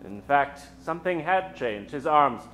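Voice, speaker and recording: A man narrating a radio play in a theatrical, suspenseful manner with dramatic pauses. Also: a little high, expressive, fairly bright tone.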